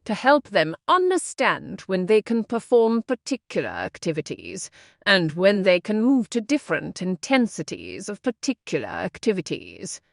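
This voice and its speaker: A woman's voice: medium pitch, wildly swooping pitch, moderate pace, gravelly, dry recording, clean studio sound. A woman reading a prepared text aloud as an exam practice model.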